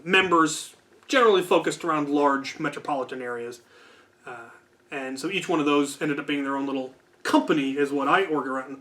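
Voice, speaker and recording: A man's voice: on the high side, fairly bright tone. A man hosting a storytelling channel, talking in a casual, unscripted, discursive way with wry asides.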